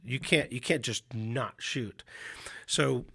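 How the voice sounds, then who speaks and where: A man's voice: mid-range voice, slow pace, vocal fry, slightly rough, dry recording. Guy coach talking shop, kinda froggy from a cold, dry close sound, loose rambly stories. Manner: relaxed storytelling with many restarts. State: hoarse from a cold. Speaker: mental management coach. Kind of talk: casual podcast chat.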